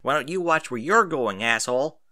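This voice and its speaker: A man voicing a brash, excitable young boy recruit who fires off eager questions and indignant outbursts in an audiobook story. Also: medium pitch, moderate pace, no echo, clean studio sound. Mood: sulky, defiant.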